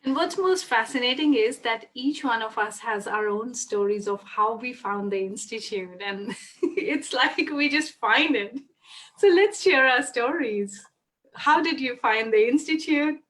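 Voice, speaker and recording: A woman's voice: fairly high pitch, expressive, a little slow, fairly bright tone. A woman hosting a casual spiritual chat on a live video call from home, effusive and laughing often. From Australia. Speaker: host.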